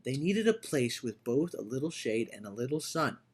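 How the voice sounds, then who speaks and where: A man reading a storybook aloud as a dramatic, theatrical storyteller who moves between hushed and loud delivery. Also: mid-range voice, very animated, moderate pace, husky. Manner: theatrical, swinging from hushed to shouting. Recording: orchestral music behind the voice. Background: orchestral music.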